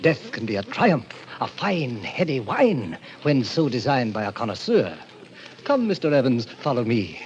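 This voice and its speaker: Suave sinister gentleman, middle-aged, grandiloquent, animated pitch, old radio drama, muffled vintage recording. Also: a little slow, a little hiss or hum. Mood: smoothly sinister. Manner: theatrical, courtly, grandiloquent. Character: suave, sinister fifty-year-old president of a death club. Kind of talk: 1940s radio drama acting.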